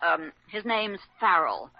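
An actor playing a busy, brisk hospital staffer in a 1940s-style radio drama. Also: male voice, high voice, sing-song, creaky, loud music behind the voice, heavily acted. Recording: dull, noisy old radio recording.

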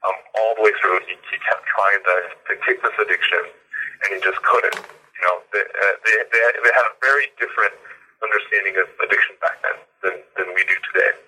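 A man's voice: lowish voice, steady tone, fairly quick, bright, forceful tone, husky, rough recording. A man, an author being interviewed, explaining in a thoughtful way with frequent fillers and repeated words. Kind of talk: conversational interview.

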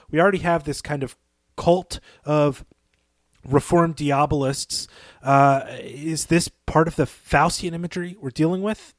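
A man's voice: medium pitch, dry recording, clean studio sound. A man co-hosting a podcast, talking through literary analysis in a conversational style. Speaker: podcast host.

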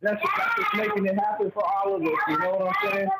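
A man's voice: sits high, wildly swooping pitch, a little fast, creaky. A man phoning a radio show as a guest, talking in casual slang. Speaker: call-in guest, music artist. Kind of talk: casual, slangy phone chat. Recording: very noisy phone call with clicks.

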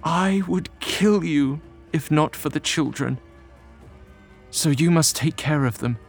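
Casting: male storyteller as a female snow spirit, high-pitched, dramatic, wounded and threatening, music underneath. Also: slow, creaky, husky, exaggerated voice. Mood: cold threatening. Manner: impassioned, accusing, dramatic. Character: anguished snow-spirit wife, grieving, vengeful and threatening.

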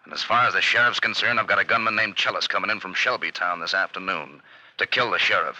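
An actor playing a cunning, greedy outlaw boss who poses as a mild piano player in an old radio western. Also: male voice, low voice, quick pace, pushed and bright, full character voice. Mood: cold, commanding. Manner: unassuming front, bossy underneath. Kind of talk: 1950s radio western acting. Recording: muffled old radio recording.

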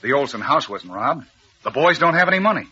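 An actor playing an earnest, puzzled crime-fighting hero with an American accent, urgent and questioning, in 1940s radio style. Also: male voice, on the high side, sing-song, brisk, bright, forceful tone, husky, full character voice. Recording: muffled old radio recording.

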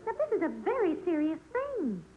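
On a muffled, noisy old radio recording, a fretful housewife and mother. Her voice is high and very lively, earnest and pleading, with a scolding edge of principle. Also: normal speed. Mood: firm. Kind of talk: old-time radio sitcom acting.

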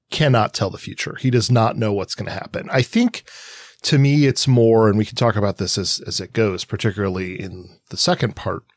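A man's voice: mid-range voice, dry recording. A man co-hosting a casual analytical discussion podcast, reflective and thinking aloud with many qualifiers. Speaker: podcast co-host.

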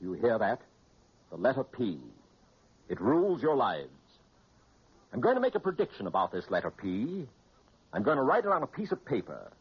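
An actor playing a solemn, deliberate male fortune teller in a 1940s radio drama. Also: medium pitch, wildly swooping pitch, slightly rough, full character voice. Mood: portentous. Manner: portentous, deliberate. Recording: noisy, muffled old radio recording.

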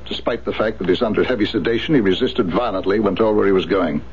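A cautious, grave doctor played by a man in a 1970s radio drama. He speaks formally and firmly in a fairly low voice, on a dull recording with faint noise. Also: fast, a little mellow, lightly acted. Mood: grave, warning. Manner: formal, firm. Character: grave, cautious male psychiatric doctor urging care.

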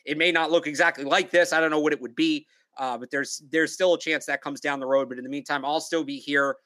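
A young man co-hosting a sports podcast in casual chat, halting and full of fillers and restarts. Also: a little high, fairly even intonation, bright, forceful tone, dry recording, clean studio sound. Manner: halting, filler-heavy, repeats words. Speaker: podcast co-host.

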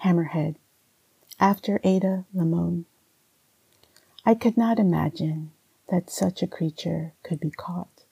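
Low, creaky, husky woman reading poetry. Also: dark tone, no echo, poor audio. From Barbados. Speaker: poet and writing teacher. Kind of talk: poetry reading with spoken introduction.

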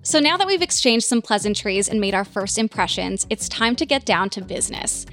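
A woman's voice: medium pitch, sing-song, fairly quick, a bit bright. A woman hosting a dating podcast, upbeat and playful, with faint music behind. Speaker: host.

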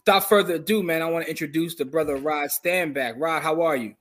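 A man's voice: fairly high pitch, a bit bright. A man hosting a podcast, talking in an upbeat, slangy, casual way as he interviews a guest. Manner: fast, upbeat, slangy banter. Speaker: podcast host.

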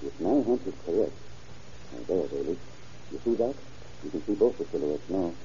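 American sheriff, male, take-charge, dark mellow tone, muffled old radio drama recording. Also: deep voice, expressive, moderate pace, slight background noise. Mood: suspicious.

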